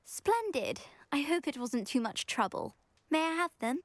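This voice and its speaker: A woman's voice: high-pitched, big pitch swings, moderate pace, no room sound. A woman voicing a vain, scheming teenage schoolgirl in a video game, with a pompous, genteel British delivery. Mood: smug, pleased. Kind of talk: video game character acting.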